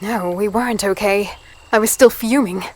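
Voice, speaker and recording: A woman playing a guarded, curious young human captive in a dark fantasy audio drama, hesitant, polite and introspective. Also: mid-range voice, moderate pace, close to an everyday voice. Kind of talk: first-person audio drama narration and dialogue.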